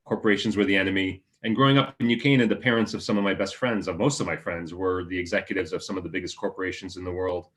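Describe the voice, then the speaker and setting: A man from the United States, a panelist introducing himself in a discussion, speaking in a measured, hesitant way with many "uh"s. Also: sits low, steady tone, a bit bright.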